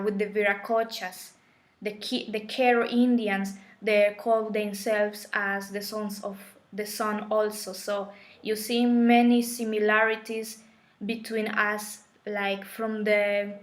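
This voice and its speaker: A middle-aged woman from Colombia with a Colombian Spanish accent, a Spanish speaker, hosting a live stream for a spiritual course in an unhurried way, with fillers and restarts. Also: mid-range voice.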